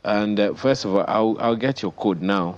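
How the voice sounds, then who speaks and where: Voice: deep voice, moderate pace, dull audio. A TV interviewer with a Nigerian accent, chatting casually, probing and repeating details back to confirm them. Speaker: interviewer, TV host.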